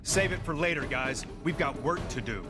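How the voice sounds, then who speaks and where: An actor playing an earnest, resolute superhero leader giving orders in a cartoonish game. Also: male voice, on the high side, normal speed, over music, close to an everyday voice. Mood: businesslike. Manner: resolute, declarative.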